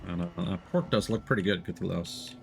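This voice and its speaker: A man's voice: low voice, very animated, a little fast, vocal fry, music in the background. A man hosting a casual gaming livestream, rambling and thinking aloud as he plays. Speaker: livestream host playing a game. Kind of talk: casual gaming stream chat.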